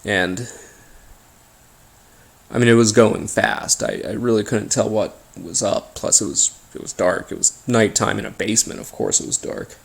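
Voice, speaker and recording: An American man telling a first-person paranormal story in an informal, halting way, pausing between short phrases. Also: fairly low pitch, animated, vocal fry. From Appalachian region of the United States. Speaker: host and narrator of a paranormal story series.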